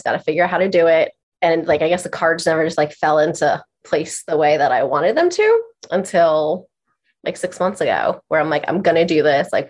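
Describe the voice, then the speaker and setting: A congested woman guest telling her own story informally, saying "like" a lot and quoting her own thoughts. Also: low-pitched. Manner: chatty, informal, heavy use of "like", quotes her own thoughts. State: stuffy, congested. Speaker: podcast guest, therapist and trainer. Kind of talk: casual personal storytelling.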